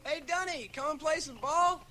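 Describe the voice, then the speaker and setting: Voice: sits high, moderate pace, loud music behind the voice, natural voice. A performer playing a cocky, bullying small-town schoolboy with a brash, taunting manner in a radio drama. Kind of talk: 1980 radio drama acting.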